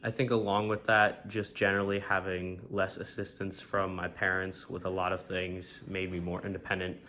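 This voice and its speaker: A young man from Maryland, a college student, talking about leaving home in a relaxed, easygoing way. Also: sits low, normal speed. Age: young adult.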